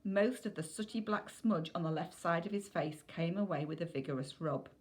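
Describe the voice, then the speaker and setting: Low-pitched woman reading a story. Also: normal speed. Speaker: reader of a children's novel. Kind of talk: scripted storybook narration, read aloud.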